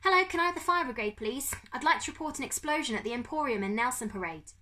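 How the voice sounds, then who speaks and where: An earnest storybook schoolboy read by a woman, polite and a little breathless. The voice sits well above an adult's and has a bright, eager edge. He sounds clever and anxious to be believed. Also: sits high, expressive, fast talker, pitched above the performer's own voice. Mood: polite urgency. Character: clever schoolboy making polite, feigned-alarm phone calls.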